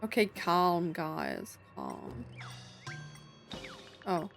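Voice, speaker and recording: Woman gamer commentating over soft music. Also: on the low side, wildly swooping pitch, drawn-out words, gravelly. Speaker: game streamer. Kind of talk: casual playthrough commentary, reading game text aloud.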